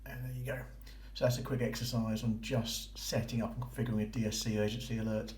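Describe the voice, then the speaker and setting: A man's voice: lowish voice, little pitch movement, fast talker, a little mellow. A man giving a casual, informal, approving walkthrough as a vlogger. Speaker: vlogger documenting a boating course. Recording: roomy echo with faint noise.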